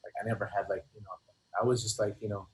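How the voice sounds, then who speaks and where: A middle-aged man reminiscing casually as an interview guest, in a halting, slangy way that often doubles back on words. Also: lowish voice, little pitch movement, poor audio. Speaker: guest, rapper.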